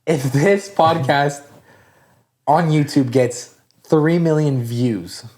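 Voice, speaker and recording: A man's voice: a little high, slow, darkish tone. A young man co-hosting a podcast in casual chat, laid-back and rambling, full of "like" fillers. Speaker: podcast co-host.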